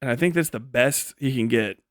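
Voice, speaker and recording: Male stream host, mid-pitched, blunt and profane, off-the-cuff opinions, very little room sound. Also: fairly quick, a bit bright, dry recording, clean studio sound. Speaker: livestream host reading and answering chat. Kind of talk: casual, unscripted livestream commentary.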